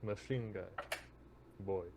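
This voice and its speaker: Very deep-voiced man, terse. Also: fairly even intonation, takes it slowly, crowd noise. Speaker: player in a tabletop roleplaying game. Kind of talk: terse casual in-character roleplay.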